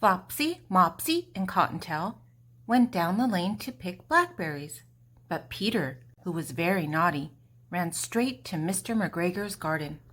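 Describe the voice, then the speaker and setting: Lower-voiced woman reading a children's storybook. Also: animated, a bit bright. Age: older. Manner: deliberate, with dramatic pauses and emphasis. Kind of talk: expressive children's storybook narration.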